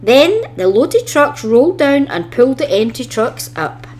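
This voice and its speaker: A woman's voice: sits high, very animated, unhurried, a little mellow. A woman narrating a children's story expressively, reading from a script as a storybook reader.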